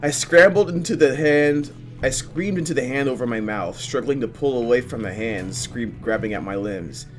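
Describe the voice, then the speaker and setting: A man hosting a gaming video, reading game text aloud and commenting with expressive, dramatic delivery. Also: mid-range voice, moderate pace. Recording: game music behind. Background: game music.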